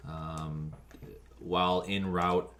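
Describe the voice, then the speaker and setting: Man, very low voice, flat intonation, American accent, halting interview account, fire officer, slight background noise. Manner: halting, with frequent ums and pauses. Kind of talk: spoken account of an emergency call, interview.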